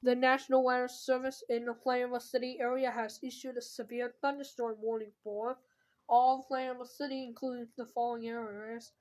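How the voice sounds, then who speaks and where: A woman's voice: a little high, level tone, brisk, slight background noise, poor audio. A woman announcing a scripted emergency weather bulletin, stumbling at times and correcting herself.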